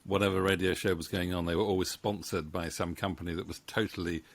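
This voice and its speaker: A middle-aged man interviewing guests in a casual, halting, rambling way into a close microphone. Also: low voice, little pitch movement, no room sound. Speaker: interviewer, writer-producer. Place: indoor home room with bookshelves. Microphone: studio microphone on boom arm, close.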